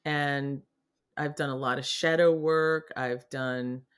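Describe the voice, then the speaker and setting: A woman's voice: a bass voice, fairly even intonation, slow, clear, resonant tone. A middle-aged woman from New England with a faint Boston accent, a guest on a video-call interview, talking animatedly with frequent laughs and restarts. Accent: Boston, mostly lost. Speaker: guest artist. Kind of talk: casual interview chat. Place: home studio in front of her paintings.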